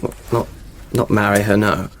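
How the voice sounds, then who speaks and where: A man's voice: on the low side, flat delivery, normal speed, a little hiss or hum, close to an everyday voice. An actor playing a good-humoured young English man, a grown son who gets defensive when teased, in a radio drama. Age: young adult. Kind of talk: radio drama acting, naturalistic family chat.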